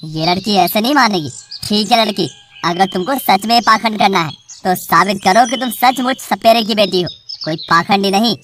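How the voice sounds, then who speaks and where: A woman's voice: medium pitch, moderate pace, a bit bright, slight background noise, lightly acted. A woman voicing an arrogant young man, a priest's son, in a cartoon story, speaking in a bossy, scornful tone.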